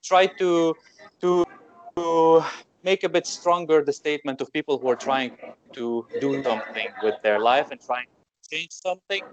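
A man's voice: a little high, moderate pace. Man from Belgium, podcast host, hesitant, stumbling restarts, muffled call audio. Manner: hesitant, repeats words and restarts. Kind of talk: casual interview host. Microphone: video call.

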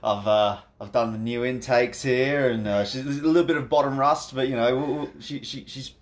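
A man's voice: mid-range voice, fairly even intonation, moderate pace. A man with a patchy Australian accent, co-hosting a casual podcast, swearing and laughing, with lots of restarts. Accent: mixed Australian. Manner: crude, laughing, stumbling restarts. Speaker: podcast co-host. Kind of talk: casual chat.

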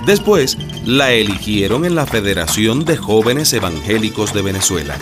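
A man's voice: lowish voice, very animated, normal speed. A man narrating a radio drama as a scripted storyteller. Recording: music bed behind the voice.

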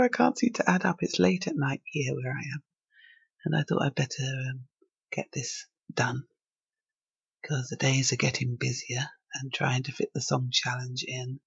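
A woman's voice: rumbling low, gravelly, breathy edge, dry recording, muffled recording. A woman from England hosting her own podcast, talking alone in a reflective, thinking-aloud way. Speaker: podcast host. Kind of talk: solo podcast monologue.

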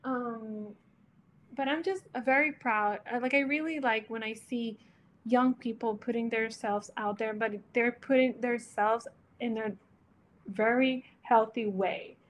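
A woman's voice: a little high, fairly even intonation, measured pace, slight background noise. Encouraging woman. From Latin America. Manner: warm, earnest, searching for words. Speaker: podcast guest, interior designer. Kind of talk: casual chat, encouraging advice.

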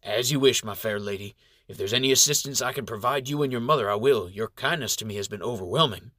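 A man reading a storybook voices a cheerful, wise old male reverend giving gentle advice. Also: medium pitch, fast talker, no room sound, studio quality. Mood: advising. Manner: gentle, advising.

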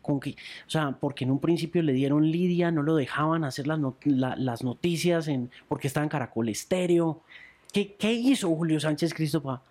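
A man's voice: fairly high pitch, normal speed, darkish tone. A man hosting a podcast, asking questions in an informal, curious way. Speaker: podcast host. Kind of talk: podcast interview.

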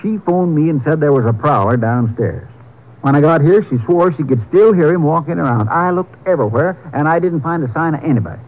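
An actor playing an easygoing small-town sheriff in a 1950s radio drama, speaking in a folksy, confiding way with a Texan accent. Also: male voice, fairly high pitch, animated, a little fast. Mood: confiding, skeptical. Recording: muffled 1950s radio recording.

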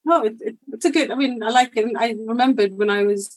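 Brief: young woman, interview guest, chatty with frequent laughs, fairly high pitch, dry close sound. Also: fast talker, no echo. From India and the United Kingdom. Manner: chatty, laughs often, many fillers. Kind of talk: casual conversational interview.

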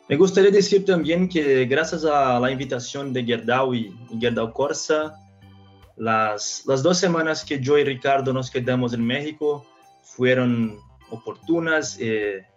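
A man's voice: mid-range voice, dull audio, soft background music. A Brazilian man in his thirties, a native Portuguese speaker, talking as a podcast guest in Spanish with a Brazilian Portuguese accent, often restarting his phrases. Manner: halting, with frequent restarts. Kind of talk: casual interview talk.

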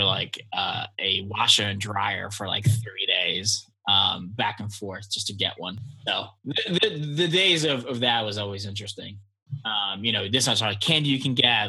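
A man from Poland talks as a guest in a casual interview. His voice is fairly low, husky and very bright, and he rambles in a relaxed way with lots of fillers. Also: poor audio. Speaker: guest entrepreneur.

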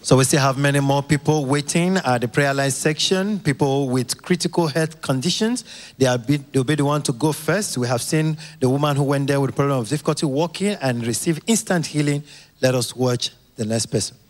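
A man's voice: mid-range voice, fairly quick. A man giving live commentary on a healing service for viewers. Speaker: church broadcast commentator.

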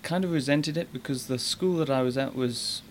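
A man's voice: mid-range voice, moderate pace, slight background noise. A man with a British accent, raised in Africa, chatting casually as a podcast guest and rambling with fillers. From born in Scotland, raised in Zambia. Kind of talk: casual interview chat.

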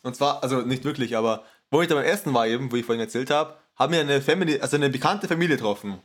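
A man's voice: medium pitch, very fast. A man co-hosting a podcast, chatting casually and telling stories with frequent laughter. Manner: laughs often, animated storytelling. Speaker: podcast co-host. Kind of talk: casual chat.

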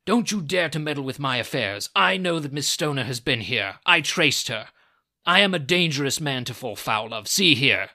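A man's voice: medium pitch, expressive, normal speed, gravelly, hardly any pauses, sharp tone. A man voicing a huge, menacing old doctor in an audiobook, blustering and snarling out threats. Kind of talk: dramatized audiobook reading.

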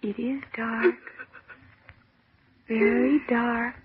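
A woman acting a frightened young woman trapped in the dark and growing delirious, in a slow, halting 1940s radio horror style. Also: a little high, little pitch movement, narrow, tinny audio, slight background noise. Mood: dreamy, resigned. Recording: thin band-limited old radio recording.

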